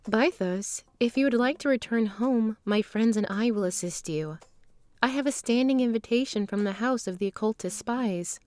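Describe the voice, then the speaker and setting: A woman playing an earnest, guarded traveling performer with formal, measured phrasing in a fantasy audio drama. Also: fairly high pitch, a little mellow, no echo, lightly acted. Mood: composed, earnest.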